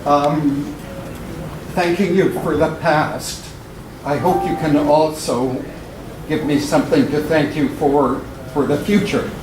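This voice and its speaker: A man in the audience asking a long question, speaking in a measured way with pauses. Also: on the high side. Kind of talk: question from the floor. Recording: echoey far-off room recording with noise.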